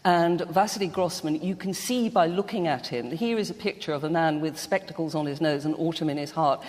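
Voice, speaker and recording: A woman speaking as a panel guest in a literary discussion, with emphatic, deliberate phrasing. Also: deep voice, a little mellow. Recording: reverberant hall.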